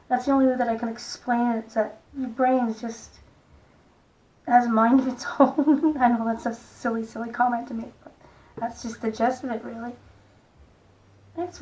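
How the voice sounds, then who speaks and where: A middle-aged woman with a croaky voice, gently talking through a makeup tutorial in a soft, unhurried way. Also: on the high side, rough recording. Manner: soft-spoken, unhurried, stops and restarts gently. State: hoarse, croaky voice. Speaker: makeup vlogger. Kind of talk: casual makeup tutorial talk.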